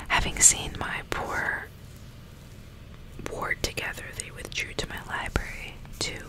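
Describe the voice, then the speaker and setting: A woman doing an ASMR book reading in a soft, breathy, unvoiced whisper, with deliberate storytelling delivery. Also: low-quality recording. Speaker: ASMR host reading a novel aloud.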